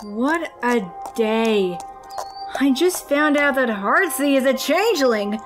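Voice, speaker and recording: A woman's voice: sits high, lively intonation, slow, over music. A woman voicing an anxious, earnest young pony in a cartoon, speaking hesitantly. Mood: troubled. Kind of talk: animated cartoon voice acting.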